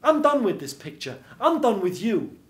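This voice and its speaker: A bitter old mouse film star, played by a male storyteller, talks in a world-weary, hard-boiled noir manner. The voice sits fairly high and rings in a roomy stage space. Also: wildly swooping pitch, normal speed, a bit bright, exaggerated voice. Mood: angry.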